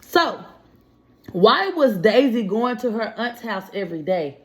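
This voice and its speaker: Slow-paced woman teacher in an echoey room. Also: on the high side, sing-song, a bit bright. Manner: instructional, questioning, prompting. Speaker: teacher reading aloud to children. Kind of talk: read-aloud lesson with comprehension questions.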